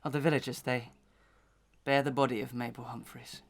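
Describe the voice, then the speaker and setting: A determined gentleman played by a man in a Victorian audio melodrama. His voice sits at a middle pitch, with formal, methodical phrasing and a dramatic storyteller's weight, full of suspicion. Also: flat delivery, fairly quick, no room sound, lightly acted. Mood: urgent, grave.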